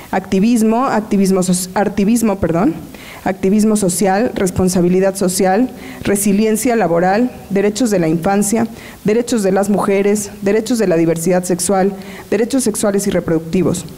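A young woman reading a formal speaker introduction from a paper, outdoors beside a garden. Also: mid-range voice. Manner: steady formal reading, listing titles. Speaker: presenter.

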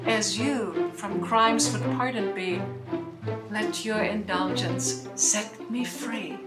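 A woman playing a commanding, grave, aging sorceress in a Shakespearean radio play, speaking measured, declamatory verse. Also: medium pitch, over loud music, close to an everyday voice. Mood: solemn, pleading. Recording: roomy online recording.

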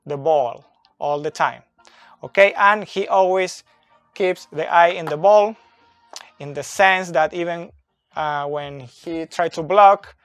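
A man's voice: a little high, no echo. Man explaining soccer tactics, non-native English. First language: not English. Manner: slow, deliberate, with fillers and restarts, pointing at a board. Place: indoor room with a brick wall and whiteboard. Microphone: phone camera, close and moving.

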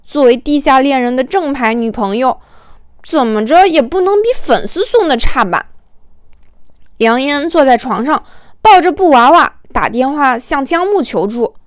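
A woman with a high voice reads a light romantic comedy novel as an audiobook. She tells it expressively and colloquially, drawing out little particles like a storyteller. The sound is dry and close, with no room to it. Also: expressive, moderate pace, dry recording. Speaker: audiobook narrator. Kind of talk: expressive scripted narration of a comic romance novel.

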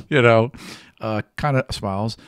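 Low-pitched man narrating a tabletop game. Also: a little slow, clean tone, no room sound, studio quality. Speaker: game master. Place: small indoor podcast studio. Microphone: close stand microphone.

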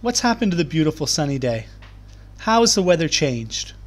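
A man reading aloud to young children as a teacher, explaining things in clear, simple terms. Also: on the high side, sing-song, normal speed. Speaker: primary school teacher. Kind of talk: children's read-aloud lesson.

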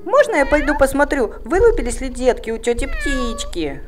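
Woman doing sweet eager little animal daughter in a cartoon, highish voice, music behind. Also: huge pitch swings, normal speed.

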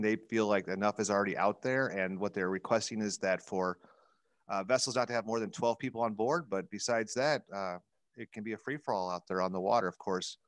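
A middle-aged American man with a fairly low voice talks casually on a panel. His headset keeps the sound close and dry, as he passes on information in a conversational way. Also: a bit bright, no echo. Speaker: legislative advocate and show panelist. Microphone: headset on a video call.

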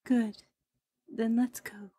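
A woman voicing a gentle, wistful young noblewoman in a fantasy game, speaking softly. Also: a little high, level tone, slow, breathy edge, no room sound. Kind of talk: fan-voiced fantasy game dialogue.

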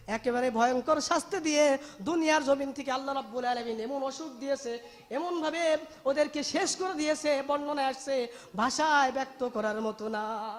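Guy preaching really high and emotional, repeats lines for effect, big echoing hall sound. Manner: emotive, declamatory, repeats phrases for emphasis. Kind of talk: impassioned religious sermon, storytelling to a congregation. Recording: long hall reverb on the voice.

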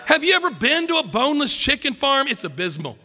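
A middle-aged man preaching at a pulpit, emphatic and joking, a little croaky from a cold. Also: very high-pitched, sing-song, fairly quick, bright, forceful tone, in a large hall. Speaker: pastor. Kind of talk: sermon. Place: church stage at a wooden pulpit. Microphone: podium mic.

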